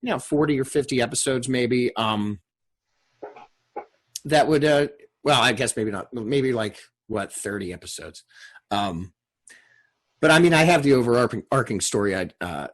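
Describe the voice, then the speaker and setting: A man hosting a casual video call discussion after a table read, rambling and halting as he talks. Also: medium pitch, animated. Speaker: host and writer of a table read. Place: remote group video call.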